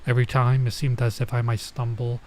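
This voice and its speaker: A male game streamer reads a visual novel aloud at a middling pitch. He slips between narration and offhand comments, with clear noise behind his voice. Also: level tone, brisk, darkish tone. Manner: stops to comment and translate Japanese phrases. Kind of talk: let's play read-aloud with casual asides.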